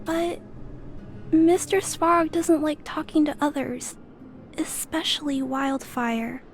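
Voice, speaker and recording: A woman playing a gentle, timid yet stubborn little girl in a video game, speaking politely and hesitantly. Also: sits high, takes it slowly, faint music behind. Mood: timid, earnest. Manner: soft-spoken, polite, hesitant.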